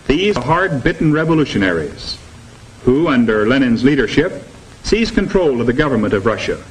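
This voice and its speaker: A man's voice: mid-range voice, very animated, moderate pace, lightly acted. A man lecturing formally and emphatically as a teacher in a 1950s educational film, on a noisy old soundtrack. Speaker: teacher leading a classroom discussion.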